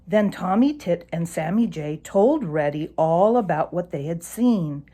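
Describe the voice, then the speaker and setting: A woman narrating a children's animal story aloud in an expressive storytelling style. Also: fairly low pitch, unhurried.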